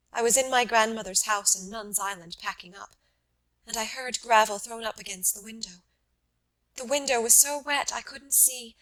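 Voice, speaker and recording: A woman voicing a grieving young wife who recalls a lost love, in a sad, veiled and halting voice for an audiobook. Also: fairly high pitch, bright, forceful tone, voice pushed up, poor audio.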